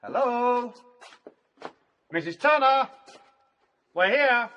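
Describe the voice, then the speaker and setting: An actor playing a breezy, forceful young sporting student with an upper-class English accent, hearty and brisk in a radio drama. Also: male voice, very high-pitched, lively intonation, lo-fi sound, a little hiss or hum, heavily acted. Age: young adult.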